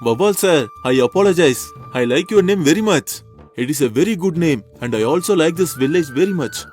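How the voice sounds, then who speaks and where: A man's voice: on the high side, lively intonation, fairly quick, darkish tone, faint music behind, natural voice. A man doing an earnest pleading young traveler. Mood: polite. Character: anxious young male traveler, curious and pleading. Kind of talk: cartoon voice acting.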